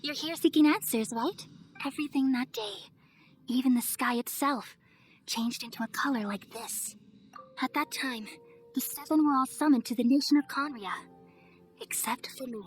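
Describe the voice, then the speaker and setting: A serene elder goddess with a fairly high, gentle voice. She speaks in a measured, motherly and solemn way, as in an anime video game. Soft music runs underneath.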